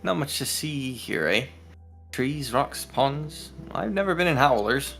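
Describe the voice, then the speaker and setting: Man putting on a folksy, animated villager. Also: medium pitch, a little slow, creaky. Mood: resigned. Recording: music behind the voice.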